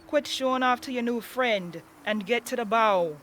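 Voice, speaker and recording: A man's voice: extremely high pitch, moderate pace, a little hiss or hum. A man playing an impatient, bossy pirate sailor who barks orders in an audio drama. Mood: barking, impatient. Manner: loud, commanding.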